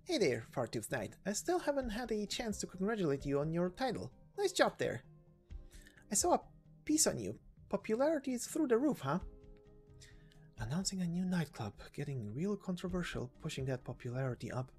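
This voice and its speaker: A woman's voice: fairly low pitch, very animated, moderate pace, a little mellow, soft background music. A woman voicing a chatty, cheerful young female knight from a game story, with a folksy, breezy, teasing manner.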